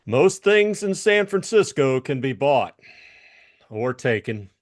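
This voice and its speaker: A man's voice: mid-range voice, big pitch swings, slow, pitched above the performer's own voice. A man playing a shrewd, cool private detective in a dramatized audiobook, blunt and knowing. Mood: cool, assured.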